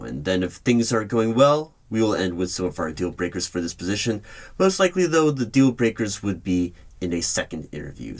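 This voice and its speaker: Very deep-voiced man, formal interviewer roleplay. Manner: formal, deliberate, official interviewer tone. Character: stern male hiring manager of secret organization. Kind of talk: ASMR roleplay, spoken at normal volume. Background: computer keyboard typing.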